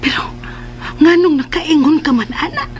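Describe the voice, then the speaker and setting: A woman's voice: high voice, animated, normal speed, slight background noise. A woman playing a chatty, candid motherly older woman in a radio drama, exclaiming and drawing out her words.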